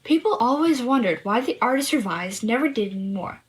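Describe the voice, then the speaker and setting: A woman playing a clever, confident young girl in a story podcast, explaining and teasing with a slightly husky voice. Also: fairly high pitch, lively intonation, fairly quick. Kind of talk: scripted story podcast dialogue.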